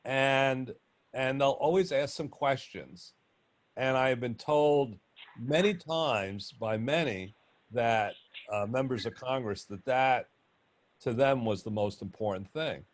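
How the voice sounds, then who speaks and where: A man moderating an online panel, talking loudly and chattily with many restarts. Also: mid-range voice, takes it slowly. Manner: loud, chatty, rambling with restarts. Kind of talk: panel discussion on video call. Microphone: video call.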